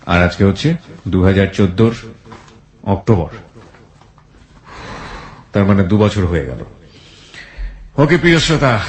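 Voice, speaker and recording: A Bangladeshi man hosting a radio show, talking in a reflective, philosophical way to a guest and listeners. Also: lowish voice. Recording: roomy echo on the voice.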